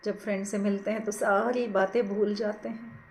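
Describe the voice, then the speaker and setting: A woman leading a guided meditation, giving soothing, gentle, unhurried instructions. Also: mid-range voice, little pitch movement, slight background noise. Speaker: meditation teacher.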